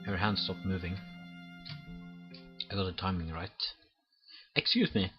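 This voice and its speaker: A man's voice: deep voice, fairly even intonation, unhurried, muffled recording. A man reading visual novel text aloud as a let's play host, often stumbling over words and restarting. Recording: clear game music behind voice. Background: game music.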